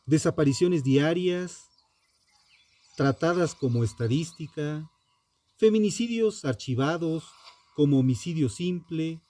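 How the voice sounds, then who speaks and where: A man with a mellow, relaxed tone narrates true crime from a script. His delivery is slow and solemn, built on short, dramatic phrases with pauses for emphasis. The pitch sits a little high for a man and moves expressively.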